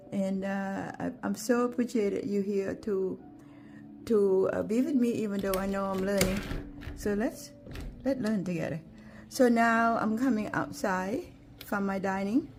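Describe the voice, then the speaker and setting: A woman with a Thai accent, a native Thai speaker, giving an unscripted walking tour of her garden in a gentle, halting way, with many fillers and restarts. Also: mid-range voice, slight background noise. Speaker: gardening vlogger.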